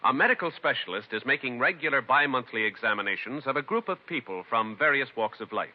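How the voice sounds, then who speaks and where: A man's voice: medium pitch, animated, fast talker. A man announcing in a formal, scripted 1950s radio style with careful phrasing. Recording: muffled vintage radio recording.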